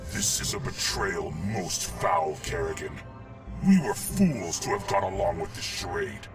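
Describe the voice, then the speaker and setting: An actor playing a noble, proud alien warrior in a video game, speaking in formal, archaic, solemn tones. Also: male voice, low voice, wildly swooping pitch, measured pace, far from the mic, over loud music, heavily acted. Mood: proud, grave. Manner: formal, grave, archaic diction. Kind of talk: dramatic video game voice acting.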